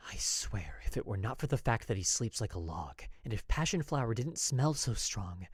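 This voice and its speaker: A man's voice: fairly low pitch, sing-song, normal speed, slightly rough, no room sound. Man voicing an anxious frontier wife, close and dry. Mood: bitter. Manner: earnest, formal, dramatic delivery. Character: anxious, oppressed young wife confiding in her diary. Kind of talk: dramatic diary narration of western fan fiction.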